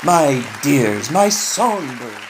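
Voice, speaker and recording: An actor playing a jubilant, grandiose leader of an opera troupe in an audio drama, declaiming to the company. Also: male voice, mid-range voice, sing-song, crowd noise. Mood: triumphant. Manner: slow, declamatory. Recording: noisy ambience with people behind.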